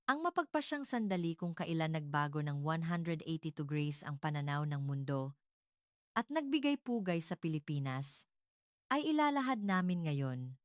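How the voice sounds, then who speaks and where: A woman reading scripted dramatic narration in a formal, measured storytelling manner. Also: deep voice, smooth, no room sound, clean studio sound.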